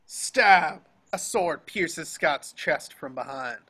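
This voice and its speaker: A man's voice: a little high, expressive, slow pace, sharp tone, breathy edge. A man reading scripted action descriptions as narrator of a table read over a video call.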